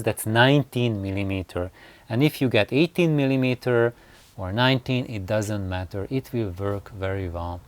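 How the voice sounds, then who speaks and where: DIY presenter for informal walkthrough: slow, halting delivery with self-corrections, very dry recording. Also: mid-range voice, darkish tone, dry recording. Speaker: DIY loudspeaker designer presenting own build.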